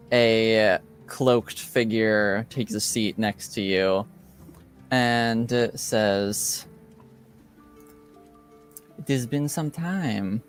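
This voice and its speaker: A man narrating a tabletop roleplaying game as game master, with halting delivery full of fillers. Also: medium pitch, clean tone.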